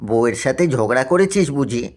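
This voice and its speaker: A man's voice: medium pitch, expressive, normal speed, darkish tone, lightly acted. A man voicing a teasing, good-natured young village friend in a cartoon story, joshing and frank.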